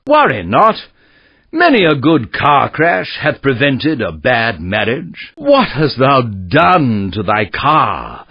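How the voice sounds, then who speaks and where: An actor playing a pompous, florid Shakespearean orator who declaims in mock-Elizabethan style for a video game. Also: male voice, a little high, slightly rough, dry recording, muffled recording, full character voice. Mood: scornful outrage. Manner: pompous, declamatory, sweeping delivery. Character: theatrical Shakespearean male orator, grandiose, mock-tragic, florid.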